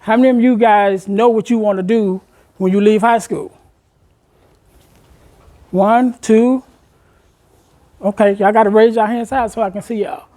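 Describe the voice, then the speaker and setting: A man's voice: high-pitched, fairly even intonation, measured pace, pauses often, warm tone. Man in his forties giving a motivational talk. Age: 40s. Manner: engaging the audience with questions, conversational and encouraging. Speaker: motivational speaker. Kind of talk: motivational talk to high school students. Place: school room with students.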